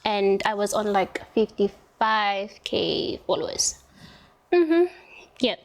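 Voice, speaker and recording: A young South African woman talks in a loose, rambling way, with lots of fillers and stretched words. She chats as she applies her makeup, her voice sitting at an ordinary middle pitch. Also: expressive, slow. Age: young adult. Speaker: interviewee doing makeup. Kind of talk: casual chat while applying makeup.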